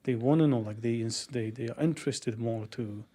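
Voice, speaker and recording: A man in a casual podcast conversation who speaks haltingly, often restarting his sentences. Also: medium pitch, dark tone.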